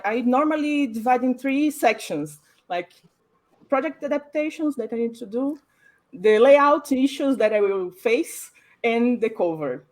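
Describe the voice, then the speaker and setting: A woman, a graphic designer explaining her work on a panel, thoughtful and pausing to find her words. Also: on the high side, darkish tone. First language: Portuguese. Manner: thoughtful, pauses to search for words. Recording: online panel stream.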